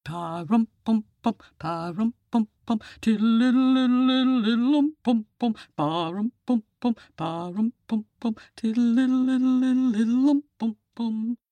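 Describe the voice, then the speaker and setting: A pompous old-fashioned storybook elephant, put on by a woman, world-weary and politely dismissive. The speech is lively in pitch and stately in pace, recorded dry and close. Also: slow, dry recording. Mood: jaunty. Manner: trumpeting marching chant, hesitant formal address.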